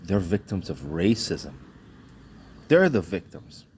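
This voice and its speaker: A man's voice: deep voice, very animated, slow, darkish tone, slight background noise. A man from Trinidad and Tobago delivering a blunt, indignant vlog rant in short clipped sentences, swearing freely. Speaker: vlogger. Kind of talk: ranting monologue.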